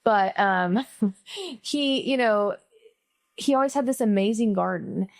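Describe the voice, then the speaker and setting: Woman podcast host, from Missouri, middle-aged, vocal fry, dry close recording, chatty. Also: mid-range voice, slow, no echo. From Missouri, USA. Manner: chatty, frequent "like" and "right?" tags. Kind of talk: casual interview chat and closing sign-off.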